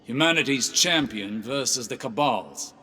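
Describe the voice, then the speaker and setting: A man acting a calculating advisor in a video game cutscene, speaking deliberately and persuasively. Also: medium pitch, expressive, bright, forceful tone, husky, full character voice. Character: shrewd, calculating male strategist and advisor. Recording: orchestral music behind the voice.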